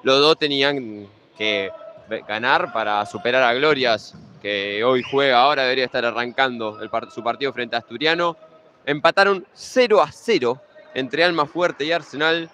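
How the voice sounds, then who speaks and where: A man's voice: medium pitch, moderate pace, pushed and bright, slight background noise. A young man with an Argentine Spanish accent, giving pre-match futsal commentary in a conversational way, running through league standings and team lineups.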